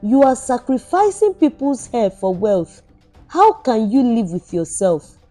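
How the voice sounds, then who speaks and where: A woman's voice: a little high, sing-song, unhurried, mellow, faint music behind. A woman voicing a horrified young male employee in a folktale, blunt and accusing toward his boss. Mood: stern, horrified.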